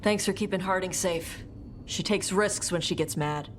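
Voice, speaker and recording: A woman's voice: lowish voice, normal speed, slight background noise, lightly acted. A woman voicing a brave young dragon hunter in a video game, speaking in blunt, sincere, short phrases. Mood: bold. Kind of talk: video game character acting.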